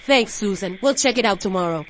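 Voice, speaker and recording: A woman plays a soothing young boy's mother in a radio drama. Her voice is mid-pitched and gentle, over faint background noise. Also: lively intonation, fairly quick, natural voice. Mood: reassuring. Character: gentle, protective Southern mother, quietly uneasy. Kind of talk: audio drama acting.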